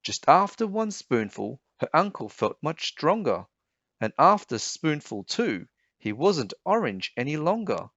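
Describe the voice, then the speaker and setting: Slow, animated man reading a storybook. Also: fairly high pitch, no room sound. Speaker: storybook reader. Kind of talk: children's read-aloud narration. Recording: dull, slightly muffled sound.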